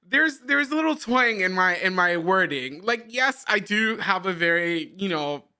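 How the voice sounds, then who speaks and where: Bright, earnest man with slight Spanish accent. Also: high-pitched, lively intonation, unhurried, clear, resonant tone. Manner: earnest, laughs easily. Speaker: co-host interviewer. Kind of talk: casual interview talk.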